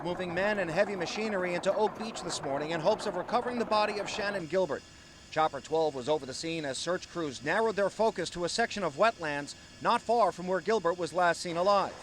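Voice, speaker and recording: A male television news reporter with a high-pitched voice delivers stories in an emphatic, punchy broadcast style. He is recorded in the field, with some outdoor and vehicle noise behind him. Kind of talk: broadcast news reporting, live stand-ups and scripted packages.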